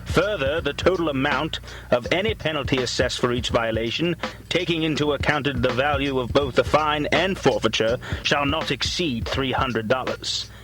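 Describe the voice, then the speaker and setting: A man narrating in a mock-solemn documentary style, like a grave orator, with pompous and deliberate delivery. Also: medium pitch, low-quality recording. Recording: music behind the voice. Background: music.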